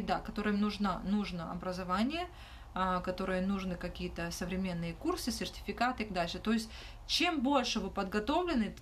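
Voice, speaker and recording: A young woman hosting a livestream Q&A in a small room, talking casually into her phone and often pausing with fillers. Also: fairly low pitch, a little hiss or hum. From Ukraine. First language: not Russian. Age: young adult. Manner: hesitant, frequent "uh" fillers and restarts. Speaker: livestream host answering questions. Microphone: phone held close, front camera.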